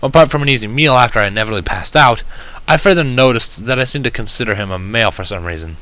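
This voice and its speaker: A man's voice: medium pitch, expressive, fast talker, sharp tone. A man reading a first-person story aloud in a wry, conversational way. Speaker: audiobook reader of fan fiction.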